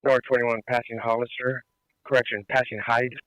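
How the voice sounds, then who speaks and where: A man's voice: medium pitch, level tone, moderate pace, fairly bright tone, dry recording. A male patrol officer giving matter-of-fact, procedural status reports over police radio. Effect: police radio transmission. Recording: muffled band-limited police radio.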